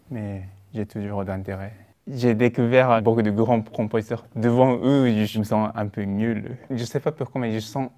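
Young pianist guy, quiet and unsure, interview clip. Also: mid-range voice. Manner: soft-spoken, hesitant.

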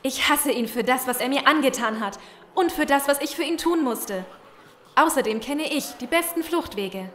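A woman's voice: high voice, expressive, moderate pace, fairly bright tone. An actress playing a frightened but resolute, bitter young woman in a German audio drama. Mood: grim, resolute. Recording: echoey room with background noise.

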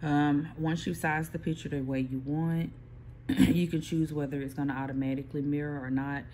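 A person casually explaining printer software step by step, with frequent pauses and restarts, recorded on a phone aimed at a computer screen. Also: mid-range voice, little pitch movement, slight background noise. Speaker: small print shop owner giving a software tutorial. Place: indoor workspace at a computer. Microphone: phone filming a computer screen.

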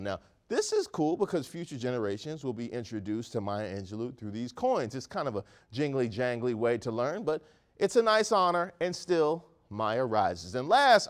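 Animated male comedy news host. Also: mid-range voice. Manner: animated, mock-outraged, jokey asides. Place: studio set.

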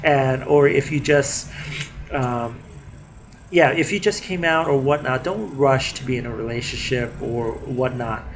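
A man's voice: mid-range voice, a bit bright. A young man chats to his webcam from a living room, his voice a bit nasal from a cold. He talks in a loose, rambling way with plenty of fillers. A faint hiss sits under the recording. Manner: chatty, many fillers and restarts. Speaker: vlogger. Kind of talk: casual video diary. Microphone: webcam at desk distance.

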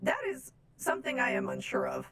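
A woman's voice: high voice, huge pitch swings, brisk, a bit bright, slight background noise, full character voice. A woman voicing an oddly formal, naive female space robot from Venus in a game roleplay, speaking in stilted, literal phrases. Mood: innocent, formal. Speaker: streamer playing a roleplay game.

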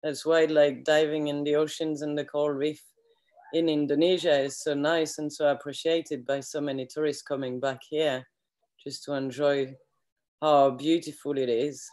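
A woman in her forties from France with a French accent, chatting as a guest over a laptop webcam from her home kitchen, friendly and halting, with fillers. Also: sits low, flat delivery, darkish tone. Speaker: guest, eco project manager. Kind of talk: video call interview. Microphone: laptop webcam on video call.

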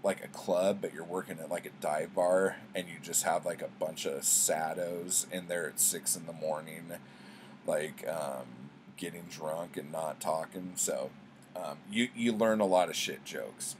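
Middle-aged man, lowish voice, rambling casual storytelling, slangy and sweary, faint noise in a home webcam recording. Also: measured pace. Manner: profane, slangy, conversational. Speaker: book vlogger. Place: small indoor room at home with bookshelves.

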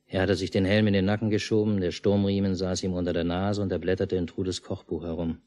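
An actor playing a stoic, dryly grumbling country father and narrator in a 1950s German radio play, laconic and unhurried. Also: low voice, flat delivery, darkish tone, lightly acted. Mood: calm narration.

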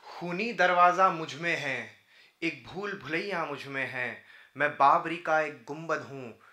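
Male poet, thirties, very bright forceful tone, declamatory and rhythmic, roomy hall sound. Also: a little high, a little slow, a little hiss or hum. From India. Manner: declamatory, rhythmic repeated phrasing. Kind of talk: live Urdu-Hindi poetry recitation. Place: indoor auditorium stage at a podium. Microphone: podium microphone. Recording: roomy auditorium sound through PA microphone.

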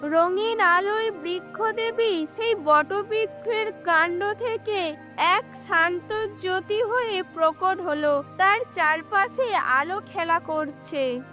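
A woman narrating a cartoon fairy tale in a measured storytelling delivery. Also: extremely high pitch, bright, forceful tone, faint music behind.

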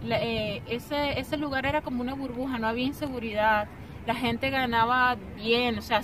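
A young woman with a Venezuelan accent hosting a casual outdoor interview, talking with lively hand gestures. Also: on the high side. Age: young adult. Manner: animated, gestures with both hands while talking. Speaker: vlogger host. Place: outdoors on stone steps of an ancient theatre. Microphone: camera mic from a few meters away. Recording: distant outdoor camera audio with street noise.

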